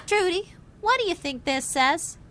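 A woman's voice: very high-pitched, lively intonation, measured pace, fairly bright tone, slight background noise, full character voice. A woman playing a plucky, inquisitive young amateur sleuth with a wry, eager delivery, in old-time radio mystery comedy style.

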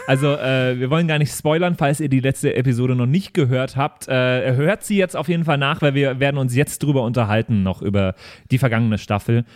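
A man's voice: medium pitch, a little fast, a little mellow, no echo, studio quality. A man speaking as a podcast host in casual, chatty style.

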